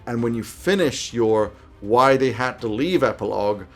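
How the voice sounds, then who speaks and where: A man in his thirties hosts a scripted advice video from a small home office. His pitch sits in the middle range for a man, and light music plays behind him. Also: animated, normal speed, a bit bright. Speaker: YouTube host giving game master tips.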